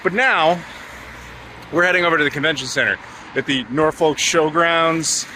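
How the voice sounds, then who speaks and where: A man from North America hosting a casual travel vlog, chatty and jokey, talking excitedly about food and games. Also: a little high, normal speed, slight background noise. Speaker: vlog host.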